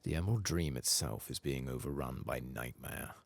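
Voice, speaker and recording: A man soft-spokenly reading a grave, sorrowful elven priestess from a fantasy game, in formal, measured phrases. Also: very deep voice, creaky, no echo, exaggerated voice.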